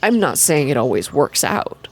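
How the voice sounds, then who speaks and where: A woman voicing a shy, wistful man telling stories in a quiet, hesitant, calming manner, in an ASMR game reading. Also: sits low, normal speed, a little hiss or hum, pitched below the performer's own voice.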